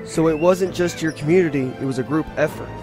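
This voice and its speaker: An actor playing a dutiful starship lieutenant, giving respectful, matter-of-fact reports in a sci-fi audio drama. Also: male voice, fairly high pitch, fast talker, music in the background, lightly acted. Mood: attentive. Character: dutiful male starship lieutenant on an away mission.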